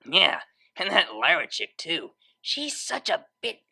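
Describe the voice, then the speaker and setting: A woman's voice: fairly low pitch, huge pitch swings, takes it slowly, creaky, sharp tone, husky, heavily acted. A woman voicing a brash, playful young female unicorn DJ who talks in a casual, slangy, teasing way. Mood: casual, candid. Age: young adult.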